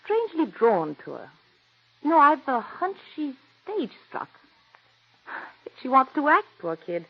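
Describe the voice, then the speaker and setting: An actress in 1940s radio acting, playing an imperious, famous stage actress with a haughty, drawling manner, on a dull old radio broadcast. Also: female voice, sits high, wildly swooping pitch, measured pace, long pauses, full character voice.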